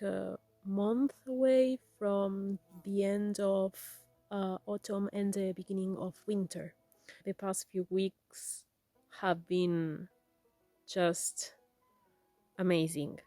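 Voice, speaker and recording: A woman whose first language is Spanish speaks English with a Spanish accent. She hosts a weaving tutorial in a gentle, halting way with many fillers. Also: mid-range voice, no room sound. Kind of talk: casual personal talk and craft tutorial.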